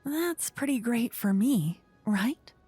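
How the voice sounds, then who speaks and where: A woman playing a playful, haughty, easily bored queen in a fantasy ASMR roleplay, soft-spoken and teasing. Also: a little high, expressive, slow, breathy edge, dry recording, soft background music, heavily acted. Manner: theatrical, teasing, soft-spoken with whispery phrases. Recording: close dry ASMR microphone.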